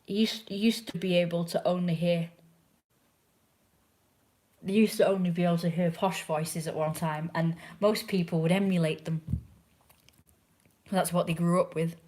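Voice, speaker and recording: A young English woman with a slightly low voice that is roughened by a sore throat. She chats casually to a viewer from home, airing strong opinions and slipping into quiet, muttered asides. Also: fairly even intonation, normal speed, long pauses. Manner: opinionated, chatty, drifts into hushed asides. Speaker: livestreamer chatting with a viewer. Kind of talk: casual rambling chat. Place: indoors at home, curled in a chair.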